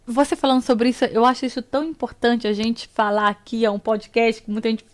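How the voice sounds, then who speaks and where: A woman's voice: on the high side, brisk. A Brazilian woman with a Brazilian Portuguese accent hosting a casual podcast, chatty and outspoken. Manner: chatty, outspoken, animated asides. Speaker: podcast host.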